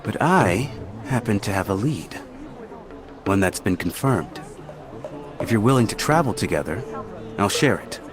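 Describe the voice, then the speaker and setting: Well-off, courteous official in a game cutscene; lowish voice with lively inflection, formal and polite. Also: male voice, a little slow, long pauses. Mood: earnest, measured. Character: courteous, wealthy male official seeking travel companions. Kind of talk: video game cutscene acting. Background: background music.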